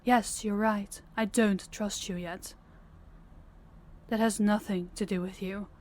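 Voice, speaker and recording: A woman's voice: mid-range voice, steady tone, takes it slowly, darkish tone, close to an everyday voice. Female actor as rival princess for fantasy roleplay: young, mid-range voice, reserved, caring underneath. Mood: guarded, gentle. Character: guarded young rival princess, reluctant but caring bride-to-be. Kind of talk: intimate fantasy audio roleplay.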